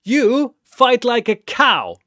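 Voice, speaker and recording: A man voicing a hapless young pirate in an animated battle story, nervous and blustering, with a rambling, theatrically indignant way of talking. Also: very high-pitched, slow, no room sound, clean studio sound, pitched above the performer's own voice, heavily acted. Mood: theatrical indignation.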